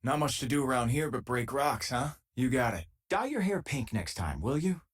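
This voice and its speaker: A man playing a loyal, easygoing former miner and spaceship crewmate in a video game, with a husky, casual, wry delivery. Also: medium pitch, very animated, normal speed. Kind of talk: video game companion dialogue.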